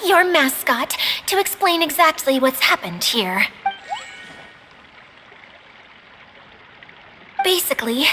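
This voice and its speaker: Edea, a jittery, high, lively mascot. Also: female voice, a little hiss or hum. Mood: explaining. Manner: nervous, self-conscious, apologetic. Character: shy, eager female caretaker mascot. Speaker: video game character. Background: game music.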